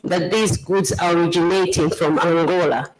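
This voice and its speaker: A presenter from Zambia with a Zambian accent, giving a formal slide presentation in a measured, explanatory way. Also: mid-range voice, fairly even intonation, noisy background. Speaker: government trade official and presenter.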